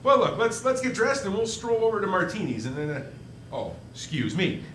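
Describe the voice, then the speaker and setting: A man playing a troubled, wry everyman in a 1940s-style radio play, with halting, folksy, stammering speech. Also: high-pitched, sing-song, a bit bright, roomy sound, slight background noise. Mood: baffled, agitated. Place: indoor stage performance.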